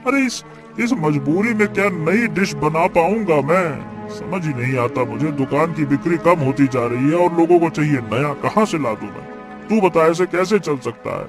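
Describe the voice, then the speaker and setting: Troubled eatery cook for a cartoon tale, played by a man: eager, expressive, emphatic, over faint music. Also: on the high side, normal speed, natural voice. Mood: exasperated, worried. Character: troubled small eatery cook Ratan, frustrated but eager friend. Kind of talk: Hindi cartoon moral-story dialogue.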